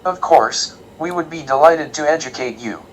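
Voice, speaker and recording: A man's voice: a little high, moderate pace, a little hiss or hum, natural voice, rough recording. A man playing a polite, literal-minded scholarly robot alien in an audio comedy, speaking formally and precisely. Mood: politely pedantic. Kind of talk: comedic audio drama acting.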